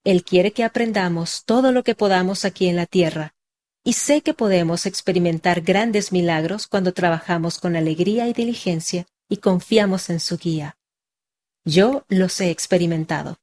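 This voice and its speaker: A young adult woman narrating a personal testimony in a measured, earnest scripted read. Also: on the low side, a little mellow.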